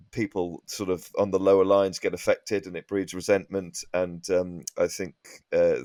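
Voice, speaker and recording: Low-voiced, halting comedian, close and dry. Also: male voice, darkish tone, no echo. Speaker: comedian, podcast guest. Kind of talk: comic ranting in casual panel chat.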